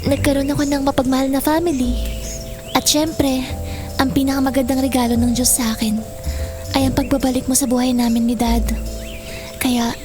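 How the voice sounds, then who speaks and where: Woman acting a sweet, high-voiced Filipino daughter. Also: little pitch movement, normal speed, pauses often, close to an everyday voice. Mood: grateful, loving. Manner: sweet, polite, earnest. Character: affectionate, grateful daughter reunited with her mother. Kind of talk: Filipino audio drama acting. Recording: music bed behind the voice.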